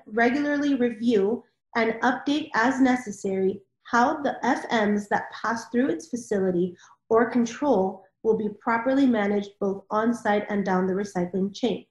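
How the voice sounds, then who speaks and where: A woman moderating a panel discussion and reading out formal requirements. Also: a little high. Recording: roomy, echoing sound.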